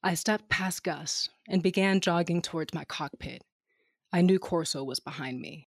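A woman's voice: lowish voice, moderate pace, no room sound, studio quality. A woman playing a brash, cynical smuggler captain, narrating an audio drama in a wry, flippant first person. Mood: matter-of-fact.

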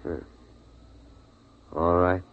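A middle-aged American man playing a calm, protective, practical father in a 1950s radio drama, speaking with reassuring authority in a husky voice. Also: deep voice, very slow, exaggerated voice. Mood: calm, puzzled. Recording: narrow-band 1950s radio recording.

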